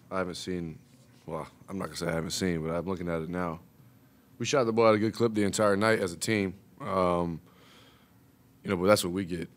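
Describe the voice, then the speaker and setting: A young man, a college basketball player, answering questions at a postgame press conference, relaxed and full of "you know". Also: deep voice. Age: young adult. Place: press room, seated at table. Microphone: table mic.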